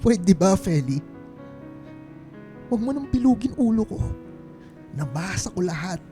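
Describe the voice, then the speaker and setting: A wounded Filipino husband acted by a woman in a radio drama, at a medium pitch for a female voice. The delivery is accusing and pleading, with music playing behind it. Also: sing-song, a little slow, warm tone. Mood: betrayed, reproachful. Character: wounded, suspicious husband, a struggling small-shop mechanic.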